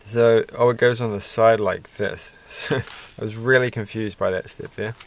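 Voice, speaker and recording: A man rambling softly to himself while building a toy kit at his desk at night, self-deprecating and a bit tired. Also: fairly low pitch, fairly even intonation, measured pace, gravelly. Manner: soft-spoken, muttering to himself, self-deprecating. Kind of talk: casual rambling build commentary.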